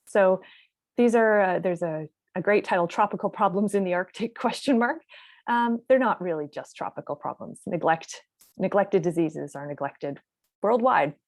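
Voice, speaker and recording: A woman researcher giving a measured, explanatory webinar talk over a video call. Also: mid-range voice, dry recording. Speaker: researcher presenting. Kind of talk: webinar presentation.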